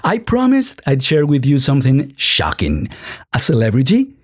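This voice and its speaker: A man's voice: mid-range voice, huge pitch swings, moderate pace, husky, dry recording. A man with a Latin American Spanish accent, a native Spanish speaker, talking conversationally as a podcast host, often digressing and correcting himself. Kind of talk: casual conversational interview.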